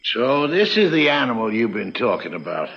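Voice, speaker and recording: An actor playing a crusty, grumbling old American livery stable keeper in a TV western, with a gravelly voice. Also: male voice, medium pitch, sing-song, moderate pace, fairly bright tone. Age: older. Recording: dull, noisy old television soundtrack.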